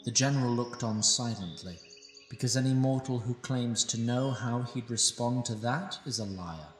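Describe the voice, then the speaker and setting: A man's voice: mid-range voice, moderate pace, echoey room, in a large hall, recorded from a distance, soft background music, natural voice. A man narrating a fantasy audio drama as a wry, ancient sprite, with dry asides to the listener.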